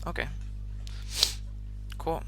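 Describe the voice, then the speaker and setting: A man's voice: very slow, soft background music. A man who teaches English, asking his student interview questions in an upbeat, encouraging way.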